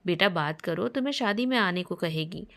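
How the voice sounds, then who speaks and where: A woman's voice: lowish voice, lively intonation, normal speed, gravelly, fairly bright tone. A woman voicing a caring middle-aged father, respectful and a little unsure, in a family story. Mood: respectful, considerate.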